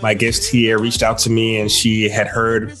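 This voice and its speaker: A man's voice: on the low side, completely flat delivery, moderate pace, fairly bright tone. A man from Texas hosting a podcast, chatting casually and loosely with plenty of "like" and "you know". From Texas, United States. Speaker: podcast host. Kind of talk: casual conversational interview.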